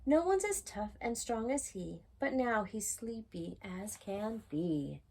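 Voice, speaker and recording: A woman reading a children's bedtime picture book aloud in a gentle, singsong way. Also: mid-range voice, animated, takes it slowly, breathy edge. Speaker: children's storytime reader.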